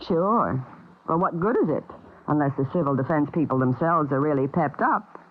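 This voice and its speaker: Low-voiced cool woman saboteur. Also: expressive, normal speed. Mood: cool, scheming. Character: cool, businesslike female saboteur briefing her chief. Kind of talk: 1950s radio drama acting. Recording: scratchy old narrow-band radio recording.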